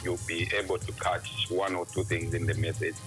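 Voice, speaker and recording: A man's voice: deep voice, level tone, normal speed. A man from Zambia, a musician guest chatting reflectively in a radio interview, often saying "you know". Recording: music playing behind the voice.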